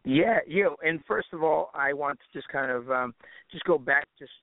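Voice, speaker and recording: A man's voice: on the high side, moderate pace, vocal fry, no echo. A man being interviewed as a musician and DJ, chatting casually in a rambling, slangy way over a narrow, phone-like line.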